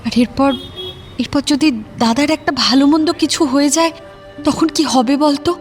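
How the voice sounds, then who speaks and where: A young woman acting in an audio drama as a worried, wistful sister, confiding in a halting, emotional way. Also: high-pitched. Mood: worried, hesitant. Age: young adult. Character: worried, wistful young working woman devoted to her ailing brother. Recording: music bed under the voice.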